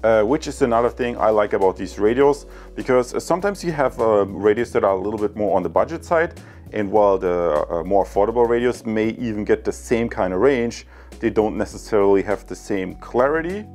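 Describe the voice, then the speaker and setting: A man's voice: on the low side, expressive, fairly quick, a little mellow, faint music behind. A man in his thirties giving a casual product review, explaining things in a hesitant, repetitive way.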